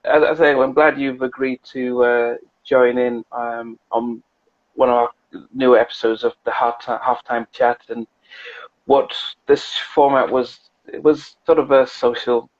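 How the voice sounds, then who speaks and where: A man hosting an interview chat, talking in a halting way with many restarts. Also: medium pitch, level tone, lo-fi sound. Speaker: interview host.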